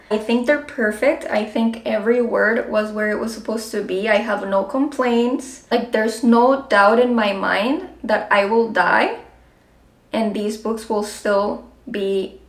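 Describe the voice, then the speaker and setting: Chatty woman, roomy echo. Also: a little high. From Colombia. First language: Spanish. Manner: chatty, frequent "like" fillers, upbeat. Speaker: book vlogger. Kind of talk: casual chat to camera.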